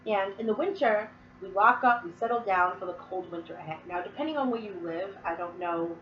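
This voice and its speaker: A woman's voice: mid-range voice, fairly quick, distant mic. A chatty woman with a cold talks through lines of verse one by one, rambling and circling back with lots of fillers. The sound is dull and echoey, with a faint hum of room noise behind her. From Massachusetts. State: sick, sniffling and coughing. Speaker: Wiccan teacher. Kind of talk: casual explanatory talk to camera.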